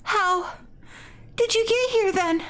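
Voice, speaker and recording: A tender-hearted schoolgirl in a horror game, played by a woman reading aloud. Her voice sits very high and sounds anxious and full of worried feeling. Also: slow pace, fairly bright tone, full character voice. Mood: worried, relieved. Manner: worried, emotional. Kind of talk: dramatic read-aloud of game dialogue.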